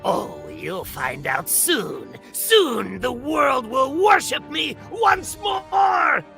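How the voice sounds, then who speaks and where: A man's voice: extremely high pitch, very animated, extremely slow, vocal fry, pushed and bright, soft background music, full character voice. A man voicing a gloating, power-mad villain with a harsh, grating voice in a comic book table read. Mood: menacing, triumphant. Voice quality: harsh, grating like broken glass. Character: gloating megalomaniac villain craving worship and revenge.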